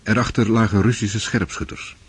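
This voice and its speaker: Man as low-voiced grave war storyteller. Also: steady tone, moderate pace, noisy background, lightly acted. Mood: urgent. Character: grave male storyteller narrating a war novel. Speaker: narrator. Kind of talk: scripted radio drama narration.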